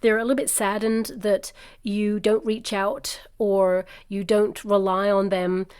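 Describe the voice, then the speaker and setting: A woman doing a casual tarot reading at a table, chatty and reassuring with many fillers, her voice slightly croaky from a coming cold. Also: mid-range voice, little pitch movement, measured pace, a little mellow. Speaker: tarot reader. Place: indoors at a table covered with cards and crystals.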